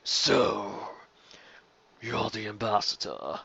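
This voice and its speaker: A man voicing a gruff, curt, intimidating dragon with a gravelly voice and a sneering way of talking in a story reading. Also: on the low side, very slow, pushed and bright, dull audio, slight background noise, heavily acted. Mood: curt, cold. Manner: sneering, terse.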